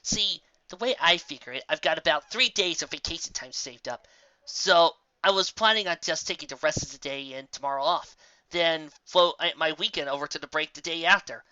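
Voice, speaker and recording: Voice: mid-range voice, normal speed, bright, forceful tone, no echo, lo-fi sound, close to an everyday voice. A narrator voicing a brash, lazy young female pegasus pony with a flippant, dismissive drawl in a cartoon fan story. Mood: smug, flippant.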